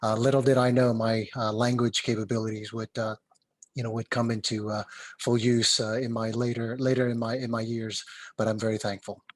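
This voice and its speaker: A man introducing himself on a panel, halting with many fillers. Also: mid-range voice, little pitch movement, dry recording. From Taiwan. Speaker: panelist, bank executive.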